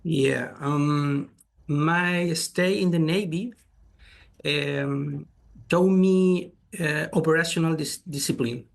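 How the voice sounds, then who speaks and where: A middle-aged man with a Chilean Spanish accent, a guest researcher answering interview questions in halting English with many fillers and restarts. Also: on the high side, gravelly.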